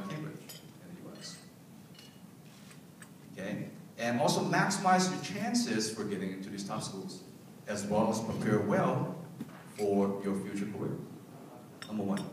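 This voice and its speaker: Low-voiced man speaking in an echoey hall. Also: background noise. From Hong Kong. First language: Cantonese. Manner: emphatic, repeats key points, asks the audience to respond. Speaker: keynote speaker. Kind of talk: keynote speech to parents and students. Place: event hall. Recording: very echoey hall, mic at a distance.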